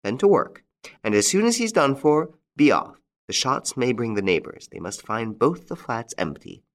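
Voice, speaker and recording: Shrill, frantic widow bent on revenge, put on by a male narrator, pitched high above his own voice. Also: sing-song, normal speed, close to an everyday voice. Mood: cold resolve. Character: vengeful shrill middle-aged widow, furious.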